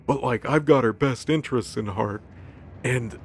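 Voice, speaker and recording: Male comedic storyteller, mid-pitched and gravelly with fry, playful casual recap, music bed. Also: normal speed, a little hiss or hum.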